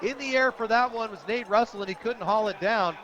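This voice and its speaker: An American man with a fairly high voice calls a football game live for radio. His delivery is enthusiastic and descriptive, following the action as it unfolds. The sound is a bit dull and lo-fi, recorded outdoors at the stadium. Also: fairly quick, crowd noise, noisy background. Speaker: radio play-by-play announcer.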